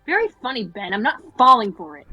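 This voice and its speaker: Woman as bossy cartoon girl, sarcastic, scornful, fairly high voice, slightly husky, dry recording. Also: sing-song, fast talker, pushed and bright, no room sound, dull audio, faint music behind, full character voice. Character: sarcastic, easily annoyed young girl, bossy cousin. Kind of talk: amateur cartoon script table read.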